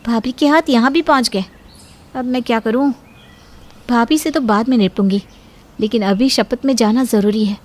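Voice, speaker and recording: Female narrator as crafty young bahu, cartoon; sweet, self-satisfied, high-pitched. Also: moderate pace, pauses often, natural voice. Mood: puzzled, annoyed. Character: sweet, smug, crafty young daughter-in-law. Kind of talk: Hindi cartoon voice acting.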